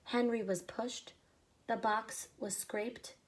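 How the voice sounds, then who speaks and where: Slow, expressive woman teacher reading aloud. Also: fairly high pitch. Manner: slow, expressive reading with short asides explaining to children. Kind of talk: picture-book read-aloud.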